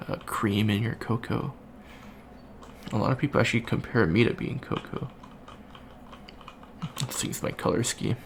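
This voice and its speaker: A man's voice: mid-range voice, creaky. A man playing a shy, friendly young avian alien student in an ASMR roleplay, hesitant, stammering and rambling. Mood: awkward, chatty. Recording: faint background noise.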